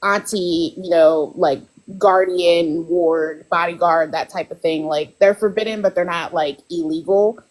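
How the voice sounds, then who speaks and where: A woman's voice: fairly low pitch, fairly even intonation, a little slow, hardly any pauses, poor audio. Chatty woman author on a podcast. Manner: chatty, laughs often, lots of "like" and "you know". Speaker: guest author. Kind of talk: casual podcast chat.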